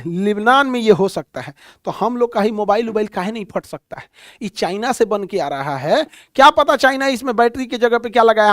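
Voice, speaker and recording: High-pitched man teaching in Bihari-accented Hindi. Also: no echo, clean studio sound. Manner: colloquial, blunt, mocking, rhetorical questions. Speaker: teacher and coaching instructor. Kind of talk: informal classroom lecture with comic asides.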